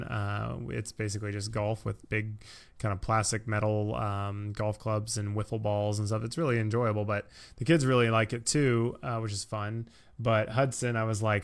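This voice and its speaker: A man's voice: on the low side, smooth. An American man in his thirties hosting a podcast in an informal, easygoing, conversational way. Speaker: podcast host. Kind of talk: casual conversational chat.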